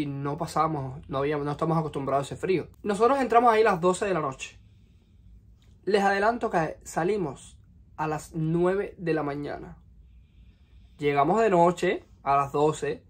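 A young man in his twenties from Cuba, speaking with a Cuban Spanish accent, telling a personal story to the camera in his bedroom with lively, expressive delivery. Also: on the high side. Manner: animated, expressive, gesturing with hands. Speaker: vlogger. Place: small bedroom, indoors. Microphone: camera in front at close range.

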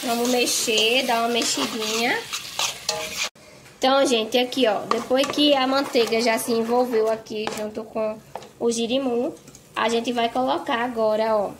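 A woman's voice: fairly high pitch, fairly even intonation, unhurried, background noise. A woman chattily walking through a home recipe in her kitchen, often checking in with the viewers. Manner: chatty, tags phrases with "tá?" and "gente". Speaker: home cook vlogger. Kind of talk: casual cooking narration.

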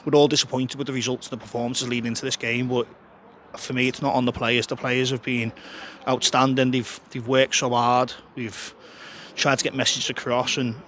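A man, a football manager giving a frank, chatty post-match interview outdoors by the pitch into a handheld mic, often restarting his sentences. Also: flat delivery, brisk, a bit bright, slight background noise. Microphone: handheld interviewer mic held close.

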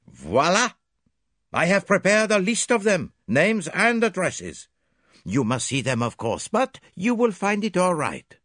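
Fussy, excitable Belgian sleuth with a French-tinged lilt and a raised voice, read by a man. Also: high-pitched, unhurried, no echo, studio quality, voice pushed up, full character voice. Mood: confident. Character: fussy Belgian male detective, precise and excitable.

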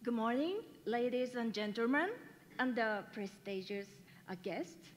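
A woman's voice: a little high, animated, slight background noise. A middle-aged woman from Japan with a Japanese accent, giving a careful, hesitant prepared speech at a podium. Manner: careful, measured delivery with hesitations. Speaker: graduating student. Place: large school hall. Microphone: podium mic. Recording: long hall reverb.

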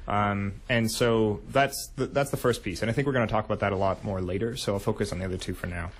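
A young man answering as a radio interview guest, with measured, hesitant phrasing. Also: lowish voice, steady tone. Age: young adult. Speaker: interview guest, incoming student union executive. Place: radio studio. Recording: faint background noise.

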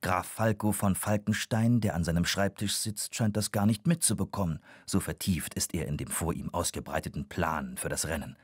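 A man's voice: low-pitched, moderate pace, hardly any pauses, slightly rough, no room sound, clean studio sound. A man narrating a children's radio play from a script.

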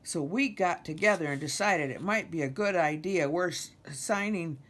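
A woman's voice: on the low side, moderate pace, a bit bright. A woman voicing an easygoing, matter-of-fact male swamp animal in a children's storybook. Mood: breezy, matter-of-fact.

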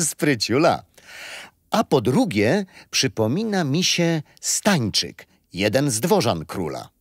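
An actor playing a kindly, patient grandfather telling stories in a children's radio play, unhurried and expressive. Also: mid-range voice, slightly rough, no room sound, clean studio sound, exaggerated voice. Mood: gently teasing. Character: kindly grandfather storyteller, patient, teasing, wise.

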